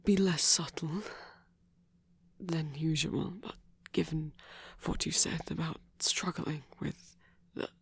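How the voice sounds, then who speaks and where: Man acting as a slow, whispering, husky flirty vampire. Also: fairly high pitch, fairly even intonation, no echo, full character voice. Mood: earnest, flirtatious. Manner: slow, deliberate, formal phrasing. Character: flirty courteous male vampire, softly seductive. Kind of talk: intimate ASMR audio roleplay.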